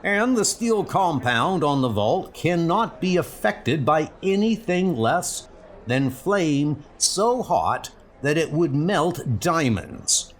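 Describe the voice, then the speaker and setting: A man's voice: a little high, sing-song, slow. A man voicing a self-important bank president with formal, speechifying delivery. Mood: proud.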